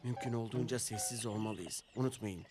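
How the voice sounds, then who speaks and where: A man voicing a gentle father in a children's cartoon dub, speaking softly and reassuringly. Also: lowish voice, a little fast, over loud music, close to an everyday voice. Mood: hushed, gentle.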